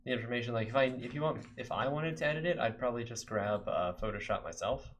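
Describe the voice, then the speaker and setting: A man's voice: mid-range voice, quick pace, slight background noise. A young American man from Texas chatting casually to his viewers while coding on a live stream, rambling with lots of fillers. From Texas, USA. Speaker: game developer streamer.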